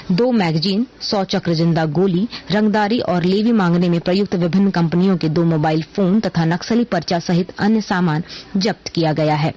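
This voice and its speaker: A woman reading a scripted radio news bulletin in a formal, measured announcer's manner. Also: lowish voice, a little mellow.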